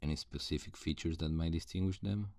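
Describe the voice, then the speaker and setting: A man playing a wary, threatening survivor of a zombie apocalypse in an ASMR audio roleplay, gravelly and menacing as he interrogates. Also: rumbling low, brisk, a little mellow, no room sound, studio quality. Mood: commanding, guarded. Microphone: close, dry studio-style microphone.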